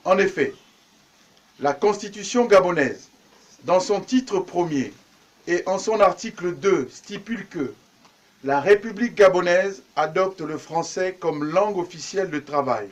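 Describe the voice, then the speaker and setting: A man's voice: high-pitched, animated, unhurried. Formal man giving an official speech. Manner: formal, ceremonious phrasing. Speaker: government minister.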